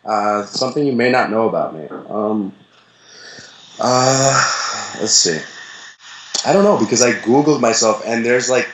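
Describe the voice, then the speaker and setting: A young man from the Bronx, chatting casually as an interview guest, relaxed and rambling with many fillers. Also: medium pitch, measured pace, pauses often, echoey room, a little hiss or hum, low-quality recording. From the Bronx, New York.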